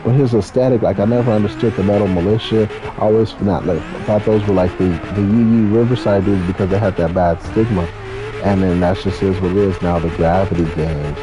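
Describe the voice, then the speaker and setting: A man's voice: sits low, steady tone, brisk. A man, telling stories in a casual, rambling, slangy way with some swearing. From California, United States. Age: 30s. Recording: noisy, with car engine sounds behind. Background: racing game car engines.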